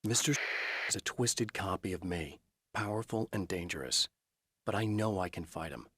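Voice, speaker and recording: A man playing a determined, brooding hero in a supernatural thriller game, speaking in an earnest, measured, guarded way with a husky edge. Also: sits low, lively intonation, a bit bright, dry recording, studio quality, heavily acted. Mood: brooding, resolute. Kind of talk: dramatic game acting, introspective monologue.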